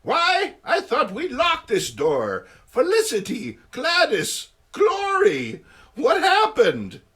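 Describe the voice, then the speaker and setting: A man's voice: very high voice, wildly swooping pitch, takes it slowly, a bit bright, pitched above the performer's own voice, full character voice. A man voicing a sweet, fussy old woman, a doting aunt who exclaims in a theatrical, motherly way. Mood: startled concern.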